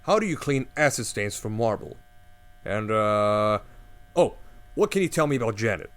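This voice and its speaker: A rambling conspiracy-nut host. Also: male voice, on the low side, normal speed. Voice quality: rambling, excitable, flustered. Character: bumbling male conspiracy-theorist radio host. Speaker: radio host.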